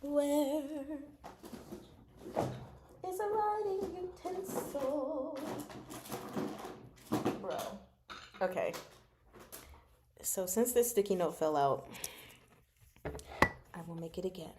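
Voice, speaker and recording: A woman hosting a casual book discussion, speaking from notes in an animated, giggly, self-interrupting way. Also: high voice, extremely slow, long pauses, very noisy. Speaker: host of a book discussion show. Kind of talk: casual fan discussion, reading from notes and comments.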